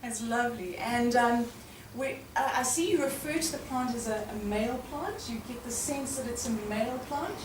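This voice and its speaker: A woman hosting an informal live interview in front of an audience, with a loud, chatty way of speaking. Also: on the high side, normal speed, hardly any pauses, echoey room, far from the mic, a little hiss or hum, poor audio. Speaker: event host interviewer.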